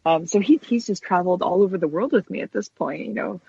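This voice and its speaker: A woman's voice: on the low side, quick pace, vocal fry, warm tone, husky, no echo, highs cut off. A woman in her thirties chatting casually and enthusiastically as an interview guest. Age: 30s. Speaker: guest, stop-motion animator.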